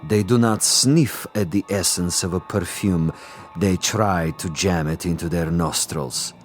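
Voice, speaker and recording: An actor playing a suave, cruel Soviet commissar in a radio drama, theatrical and deliberate, with silky irony. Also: male voice, sits low, slight background noise. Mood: patient, pompous. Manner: theatrical, deliberate, silkily ironic.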